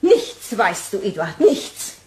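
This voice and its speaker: An actress playing a bossy, exasperated wife scolding dramatically in a German comic radio play. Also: female voice, medium pitch, wildly swooping pitch, slow pace, a little mellow. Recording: roomy echo, noise, clicks.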